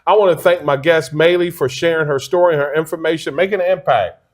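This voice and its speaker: A man's voice: a little high, quick pace. A man in his forties hosting an interview show, encouraging his guest with short affirmations. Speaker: host.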